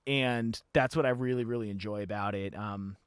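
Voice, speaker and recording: A man's voice: lowish voice, moderate pace, smooth, dry recording. Loose, laughing man in his thirties, dry sound. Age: 30s. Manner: loose, laughing, swears freely. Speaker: podcast host. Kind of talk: casual chat.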